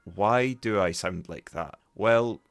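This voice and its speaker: Low, gravelly Scottish man. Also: takes it slowly, no echo. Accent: Scottish, Broughty Ferry. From Scotland. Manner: relaxed, conversational, with frequent fillers and restarts. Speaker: podcast host. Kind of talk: podcast lesson for English learners.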